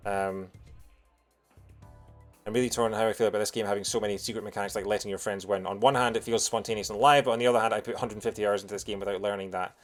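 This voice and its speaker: A man from Lanarkshire with a soft Scottish accent, doing casual, jokey live gameplay commentary as a streamer. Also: fairly low pitch, quick pace. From Bothwell, Lanarkshire, Scotland. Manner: jokey, punning, animated when reacting to the game. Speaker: video game streamer. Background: game music.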